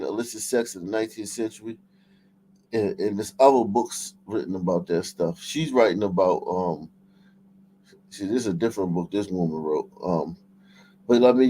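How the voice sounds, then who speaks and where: A man's voice: lowish voice, normal speed, warm tone, low-quality recording. A man hosting a livestream in casual, profane chat, often repeating and restarting his phrases. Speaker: livestream host. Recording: clear background noise.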